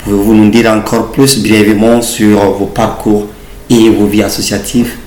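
A man's voice: on the low side, flat delivery, unhurried, darkish tone. A man interviewing a guest for radio, asking long formal questions with some hesitation. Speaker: interviewer, journalist. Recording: echoey room with faint ambient noise.

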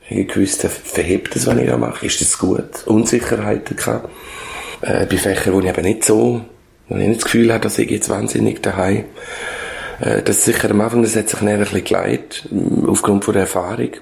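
An older man with a Swiss German accent, reflecting in an interview in a halting way, often searching for words. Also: fairly low pitch, creaky. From Switzerland. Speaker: former schoolteacher being interviewed.

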